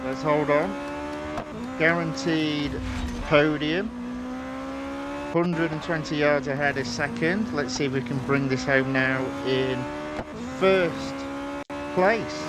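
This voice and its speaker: A man giving relaxed live commentary on a racing game and chatting with viewers. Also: on the high side, slow, pauses often. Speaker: gaming livestreamer. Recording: voice with constant game engine noise. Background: racing car engine sounds from the game.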